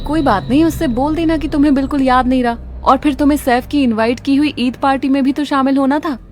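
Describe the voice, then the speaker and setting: A woman's voice: high-pitched, fairly even intonation, fast, a little mellow, close to an everyday voice. A woman voicing a snappish young mother. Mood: chatty. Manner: curt, scolding. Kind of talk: cartoon dialogue.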